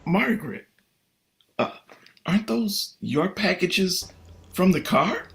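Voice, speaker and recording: Man acting a slow, high-voiced gentle dad. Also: very animated, creaky, heavily acted. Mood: surprised. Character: gentle, easygoing family father. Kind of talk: vintage radio drama acting. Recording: noisy track with clicks.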